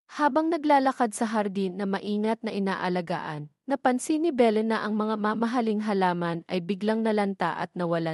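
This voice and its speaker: A woman with a Filipino accent narrates a dramatic story from a script. Her voice sits at an average pitch for a woman, and the sound is dry and close, free of room echo. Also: a little mellow.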